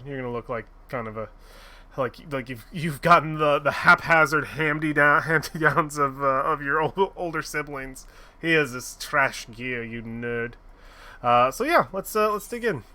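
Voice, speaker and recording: A man's voice: fairly high pitch, moderate pace, pushed and bright. A man streaming a game, recapping the story in a rambling, joking way with lots of fillers and casual swearing. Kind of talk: casual let's-play commentary.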